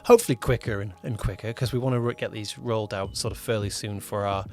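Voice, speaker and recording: A man's voice: lowish voice, steady tone, fast talker, soft background music. A man co-hosting a casual behind-the-scenes podcast as a producer, rambling and often rewording himself mid-sentence. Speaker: podcast co-host, audio drama producer-director.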